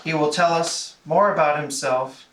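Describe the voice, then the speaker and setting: A man's voice: fairly high pitch, fairly even intonation, unhurried, a bit bright. A man hosting a club meeting, introducing a speaker semi-formally in a loose, self-correcting way. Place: meeting room, recorded from a distance. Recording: echoey room, distant mic, clear noise.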